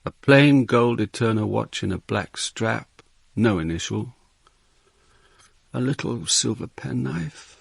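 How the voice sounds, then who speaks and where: An actor playing a world-weary, middle-aged police inspector, narrating his case in a measured, dry, reflective radio drama style. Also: fairly low pitch, gravelly, breathy edge, dry recording, heavily acted. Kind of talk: radio drama, first-person detective narration.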